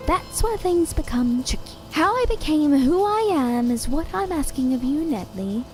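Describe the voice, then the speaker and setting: A woman's voice: sits high, animated, normal speed. A woman playing a scheming, commanding living doll in an audio drama, sweetly menacing with formal, theatrical phrasing. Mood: coaxing, scheming. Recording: music under the voice.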